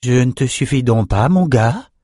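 A man's voice: medium pitch, animated, moderate pace, warm tone, no room sound, studio quality, lightly acted. A man voicing a cocky young warrior woman with a goading, mocking manner, in a fantasy storybook. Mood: offended, taunting.

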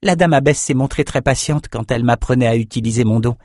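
A man voicing a gentle, wistful young woman, a devoted sister, in a fantasy audiobook. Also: mid-range voice, quick pace, no room sound, clean studio sound, lightly acted. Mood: sad, wistful.

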